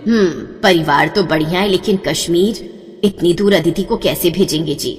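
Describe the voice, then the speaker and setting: A woman's voice: on the low side, moderate pace, big-room sound, faint music behind, pitched below the performer's own voice, lightly acted. A woman voicing a practical, exasperated middle-aged mother in a storybook, scolding and world-weary. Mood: worried, doubtful. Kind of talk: audio storybook acting.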